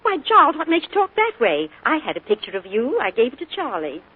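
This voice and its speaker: Fussy, fond middle-aged mother, husky, lo-fi 1940s radio. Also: a little high, huge pitch swings, a little fast, heavily acted. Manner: chatty, doting, reminiscing. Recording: tinny old radio broadcast.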